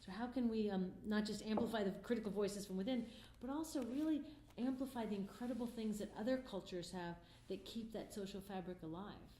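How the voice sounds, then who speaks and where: A middle-aged woman talks quickly and earnestly as an interview guest, her voice mellow and soft-edged. The room around her is echoey, with a faint hiss underneath. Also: medium pitch. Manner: earnest, passionate. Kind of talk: conversational interview answers. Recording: roomy echo, faint background noise.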